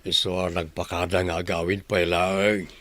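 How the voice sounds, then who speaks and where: Man acting a bright, forceful young schemer, Ilocano accent. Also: low-pitched, little pitch movement, normal speed. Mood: concerned. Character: scheming young man, Walek's friend, urgent and insistent. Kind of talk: Ilocano audio drama acting.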